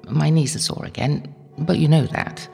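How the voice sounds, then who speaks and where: An actress playing an elderly, devout medieval holy woman in an audio drama, gentle and wistful, musing hesitantly. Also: low voice, normal speed, vocal fry. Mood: prayerful, confiding. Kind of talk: audio drama acting, intimate prayerful monologue. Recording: long hall-like reverb, faint music behind.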